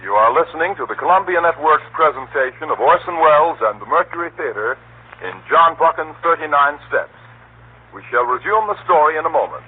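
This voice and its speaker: A man's voice: fairly high pitch, lively intonation, brisk. A man announcing formally as a 1930s radio network presenter. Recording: tinny, rough 1930s radio broadcast.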